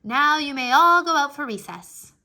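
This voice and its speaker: A woman voicing a bossy, excitable little kindergarten girl in a children's storybook, with a cheeky, emphatic, childlike delivery. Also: high voice, normal speed, voice pushed up, heavily acted. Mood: bossy, showy. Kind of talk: children's storybook read-aloud.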